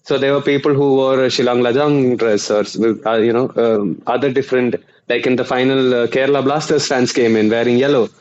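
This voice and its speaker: Man, mid pitch, explanatory, pausing often, slightly dull remote audio. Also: steady tone, darkish tone. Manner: explanatory, with many uh fillers and restarts. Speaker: guest author and journalist. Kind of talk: conversational interview.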